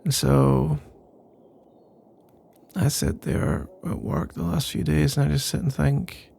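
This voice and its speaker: A man playing a nervous, pensive roommate in an intimate ASMR roleplay, speaking in a soft, husky, halting ramble. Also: fairly high pitch, steady tone, heavily acted. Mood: pensive, weary. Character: nervous, pensive male roommate working up to a confession.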